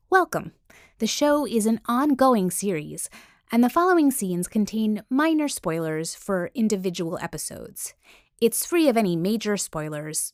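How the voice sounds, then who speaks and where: A woman presenting a podcast with scripted narration. Her pitch is medium, and the recording is very dry, like a studio. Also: a little mellow, dry recording.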